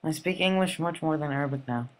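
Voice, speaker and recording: A man's voice: on the high side, fast, crowd noise, rough recording. Teenage boy reading a school essay. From United States. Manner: steady, plain read-aloud delivery. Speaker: student.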